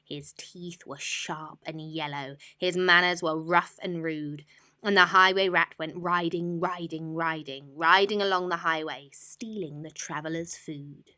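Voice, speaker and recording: Slow, bright-voiced woman storyteller. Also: low-pitched, steady tone. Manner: dramatic, deliberate, rhythmic. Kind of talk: expressive bedtime story narration.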